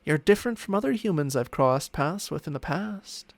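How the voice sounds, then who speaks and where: A man's voice: a little high, lively intonation, normal speed, creaky. A man playing a smug, possessive ancient dragon in human form, teasing and condescending in an intimate ASMR roleplay with formal, archaic phrasing. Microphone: close microphone, spatial ASMR recording.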